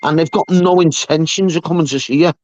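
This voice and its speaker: A man's voice: fairly high pitch, steady tone, fairly quick, darkish tone, no echo. A man in his fifties, talking bluntly and swearing freely on a live stream panel. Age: 50s. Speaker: panel guest.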